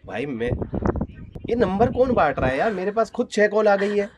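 A man's voice: high voice, animated, normal speed. A man in his twenties chatting casually, as if on a phone call. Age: 20s. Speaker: vlogger pulling a prank. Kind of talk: casual chat and phone talk. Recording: faint noise behind voice.

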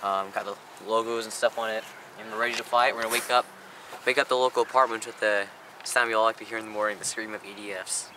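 A man rambling casually to the camera about his model planes at an outdoor field at dawn. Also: fairly low pitch. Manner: quick, flat, matter-of-fact rambling. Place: outdoor grass airfield at dawn. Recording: outdoor camera audio with noticeable background noise.

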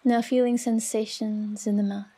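A woman leading a guided relaxation meditation in a soft, soothing, unhurried manner with long pauses. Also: a little high, little pitch movement, breathy edge. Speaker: yoga nidra meditation guide.

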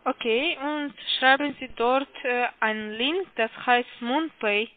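A woman call-centre caller with a foreign accent in non-native speech, giving halting, insistent instructions and repeating her questions over a muffled phone line. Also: sits high. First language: not German. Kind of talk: scripted phone sales instructions.

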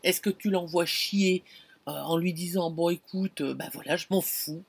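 A middle-aged woman vlogging from inside a camper van, telling an anecdote in an animated, expressive way and talking straight to the viewer. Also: fairly low pitch, moderate pace, vocal fry, husky, dry recording. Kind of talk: casual storytelling to camera. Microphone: camera close in front.

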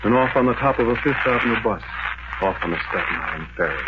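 A man's voice: on the low side, expressive, fast, very noisy, lightly acted. An actor playing an obsessed, lonely young man who narrates intensely in 1940s radio drama style. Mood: brooding. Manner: intense, brooding first-person narration. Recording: dull old radio broadcast with loud music.

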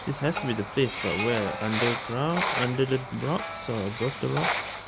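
A man's voice: mid-range voice, animated, normal speed, vocal fry, low-quality recording. A man giving casual gameplay commentary in short, sparse remarks, as if to himself. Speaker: gaming video host. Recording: loud game music behind the voice.

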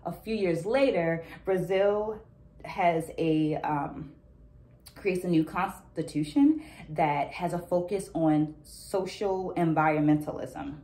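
A woman in her thirties giving a reflective, deliberate explanation of a book as a vlog host, talking to camera. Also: lowish voice, warm tone. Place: small indoor room at home.

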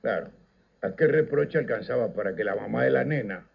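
Older Uruguayan man, very animated radio storyteller. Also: mid-range voice, gravelly, breathy edge. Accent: Uruguayan Rioplatense. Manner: theatrical, declamatory, with dramatic pauses. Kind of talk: nostalgic scripted radio narration. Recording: slightly dull, lo-fi radio recording.

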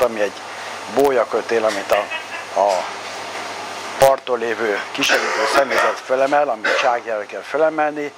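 An older man speaks in a steady, explanatory way, pausing and using fillers as he gives practical advice. He is recorded at home in a living room, sitting in front of the camera. A clear layer of background noise runs under his voice. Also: mid-range voice. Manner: measured, explanatory, with pauses and fillers. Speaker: sailing blogger giving advice. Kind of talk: casual advice talk to camera.

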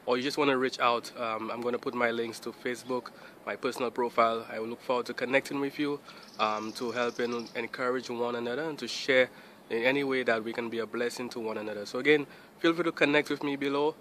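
Young man, host, bright forceful voice, outdoors, earbud mic, faint traffic. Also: medium pitch, fairly even intonation, quick pace. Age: young adult. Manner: friendly, with ums. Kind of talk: casual vlog outro. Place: outdoors by a building. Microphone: earbud mic, phone at arm's length.

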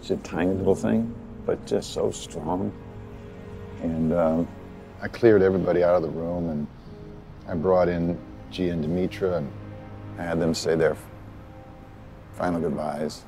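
A deep, gravelly-voiced widower, speaks in a soft, halting way, stopping often as he searches for words. He is outdoors on a city rooftop, recorded for a film with music playing underneath. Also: steady tone. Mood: grieving. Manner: hesitant, halting, soft-spoken with many pauses. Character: grieving middle-aged widower, gentle and soft-spoken. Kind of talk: film drama scene with outtakes.

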